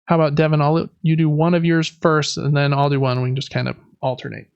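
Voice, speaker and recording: Gravelly, higher-pitched man hosting a podcast. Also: level tone, quick pace, darkish tone, no echo, lo-fi sound. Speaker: podcast host. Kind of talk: casual board game podcast chat.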